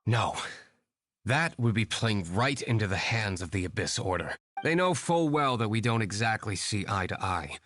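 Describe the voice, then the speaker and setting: An actor playing a calm, aloof young winery owner who works as a secret vigilante, measured and reserved. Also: male voice, lowish voice. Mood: cool. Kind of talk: game voice acting.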